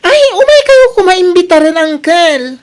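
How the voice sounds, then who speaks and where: A man's voice: very high voice, sing-song, normal speed. A man with an Ilocano accent playing an eager, boastful young nephew in a radio comedy-drama. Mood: excited.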